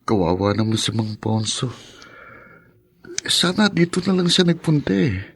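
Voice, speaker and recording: An actor playing a sympathetic, anxious loyal friend in a Tagalog radio drama, with a Filipino accent. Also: male voice, mid-range voice, sing-song, a little slow, gravelly, slightly rough, exaggerated voice.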